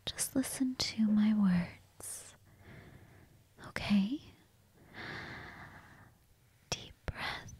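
A woman voicing a cold, hungry female vampire, speaking in a slow, breathy whisper right at the microphone. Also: medium pitch, a little mellow, no echo, full character voice. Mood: seductive. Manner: slow, intimate, purring murmurs. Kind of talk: whispered ASMR roleplay. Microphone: close mic.

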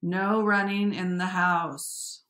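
A woman's voice: fairly low pitch, fairly even intonation, takes it slowly, a bit bright, echoey room. A woman voicing an unimpressed, half-listening father in a storybook, matter-of-fact and mildly admonishing.

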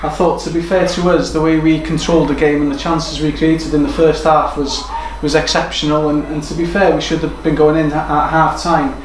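A man's voice: fairly high pitch, flat delivery, brisk, hardly any pauses. A man in his thirties, a football manager giving an earnest post-match interview in a small room. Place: small room indoors overlooking a football pitch. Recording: echoey room with background noise.

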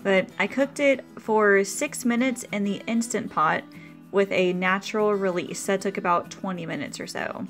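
A woman's voice: mid-range voice, moderate pace, a bit bright, soft background music. A woman hosting a cooking video, explaining her meal prep step by step in a chatty, practical voice-over.

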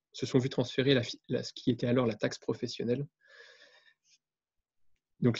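A man, giving an explanatory webinar talk in a halting, self-correcting way. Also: mid-range voice, a little mellow, breathy edge, no room sound. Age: 30s. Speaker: guest speaker on intercommunal government. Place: indoor room, on a video call. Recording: dull, lo-fi video-call audio.

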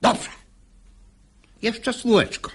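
An actor playing a stubborn, pompous middle-aged father in a verse comedy for radio, blustering and emphatic. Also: very slow. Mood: exasperated, angry. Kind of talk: classical verse comedy, radio play acting.

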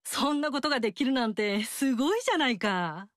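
A woman's voice: a little high, expressive, brisk, fairly bright tone, dry recording. A brash fire-goddess warrior woman. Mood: warm. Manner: bold, teasing, big-sister swagger. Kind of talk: studio game dialogue. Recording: dry studio recording.

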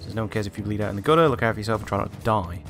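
A man's voice: lowish voice, racing. A man giving casual video game commentary and reading text aloud. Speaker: game reviewer. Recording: game music behind. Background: game music.

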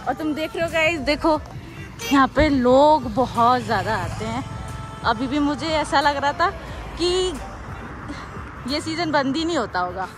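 Give me a high-voiced young woman chatting excitedly outdoors. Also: measured pace, pauses often. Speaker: vlog companion. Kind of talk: casual vlog chat. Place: outdoors at a hill viewpoint. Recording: music over outdoor vlog audio.

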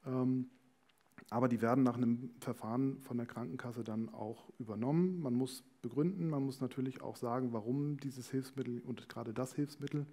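A man's voice: medium pitch, mellow. A man, explaining things as a guest in an on-stage interview. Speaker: company managing director, talk guest. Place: indoor small stage before talk-show panel. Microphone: headset.

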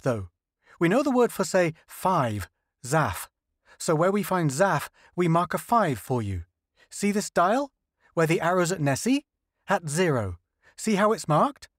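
A man's voice: high voice, no echo, clean studio sound, voice pushed up. A man voicing a fussy male scientist who explains technical details in a story reading. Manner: explanatory, lecturing.

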